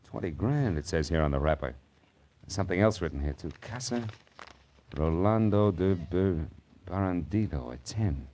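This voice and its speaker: An actor with an American accent playing a tough, sardonic private detective in an old-time radio drama, wry and clipped. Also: male voice, sits low, animated, moderate pace, a little mellow, a little hiss or hum. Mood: intent. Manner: wry, hard-boiled, clipped.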